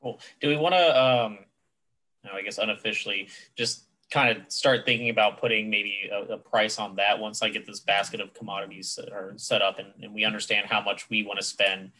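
A man's voice: lowish voice, fairly even intonation, fairly quick, pushed and bright, low-quality recording. A man on a board, wearing a headset on a video call and speaking in a casual, rambling way. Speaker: board member.

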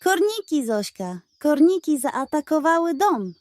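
Woman voicing a high, indignant scheming pug. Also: sing-song, measured pace, a little mellow, dry recording. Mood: dramatic alarm. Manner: dramatic, indignant. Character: scheming, hot-tempered, greedy male pug dog. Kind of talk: children's storybook character voice.

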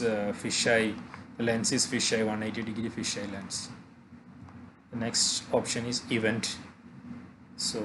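A man narrating a software tutorial haltingly, pausing often mid-phrase. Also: lowish voice, noisy background. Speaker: tutorial presenter.

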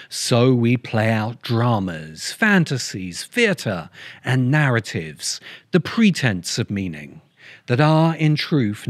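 Middle-aged man narrating with lively intonation. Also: mid-range voice, no room sound. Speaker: video essayist and host. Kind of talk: scripted essay narration. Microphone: close desk microphone. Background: music.

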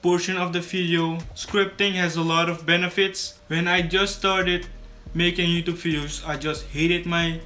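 Teen guy vlogging, flat and slightly high. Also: moderate pace. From Suriname. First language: Dutch. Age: teens. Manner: casual, repeats and restarts words. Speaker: YouTube creator. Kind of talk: scripted tutorial vlog to camera. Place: small bedroom studio indoors.

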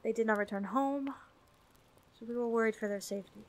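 Woman acting a composed high-voiced seafarer. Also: a little fast, natural voice. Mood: earnest. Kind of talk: audio drama acting.

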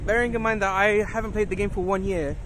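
A young man giving a quick, plain-spoken recap of a tournament, outdoors on a city street at night. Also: high-pitched, fairly even intonation. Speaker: friend and guest player. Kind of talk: casual chat. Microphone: handheld camera. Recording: loud wind noise on an outdoor phone mic.

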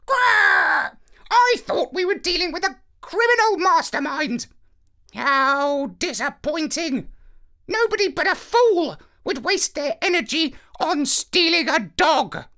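A haughty parrot genius from a children's storybook, extremely high and piercingly bright. The bird squawks out each phrase slowly and pompously, terribly pleased with its own intellect. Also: male voice, lively intonation, full character voice. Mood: scornful. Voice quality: shrill, squawky. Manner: pompous, drawn-out theatrical delivery.

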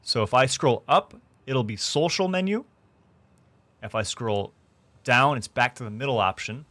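A young man hosting a gaming tutorial, explaining things clearly step by step from his streaming room. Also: medium pitch, lively intonation, measured pace, bright, forceful tone, dry recording. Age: young adult. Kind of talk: tutorial narration. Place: small indoor room streaming setup.

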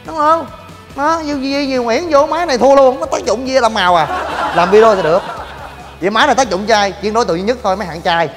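A young man hosting a gym vlog, joking in rapid banter and shouting coaching cues. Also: very high-pitched, a crowd in the background. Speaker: fitness vlogger. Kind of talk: energetic gym demo vlog. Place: large indoor gym. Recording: long gym reverb with music.

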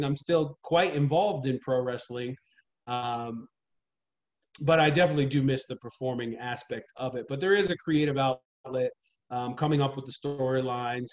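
A man in his thirties, a wrestling trainer, talking earnestly and steadily in a casual interview over a video call from a small room at home. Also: mid-range voice. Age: 30s. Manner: measured, earnest, steady explaining. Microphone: webcam on video call.

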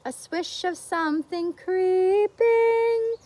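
A woman's voice: extremely high pitch, takes it slowly, dark tone. A middle-aged woman teaching young children with simple talk and singsong storytelling, recorded outdoors. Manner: asks the young viewers frequent simple questions. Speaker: children's teacher reading and singing a picture book. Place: outdoors in a leafy garden. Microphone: camera or phone a short distance away.

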